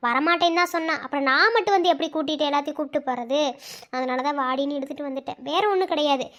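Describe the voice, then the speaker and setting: A woman's voice: high voice, rapid-fire, fairly bright tone, lo-fi sound, pitched below the performer's own voice. Woman voicing an evasive young husband. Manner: dismissive, brushing things off.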